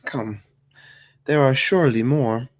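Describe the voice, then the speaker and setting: A man at a table read playing a female undead necromancer who is refined, unhurried, archly polite and casually menacing. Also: mid-range voice, lightly acted. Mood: cool, assured.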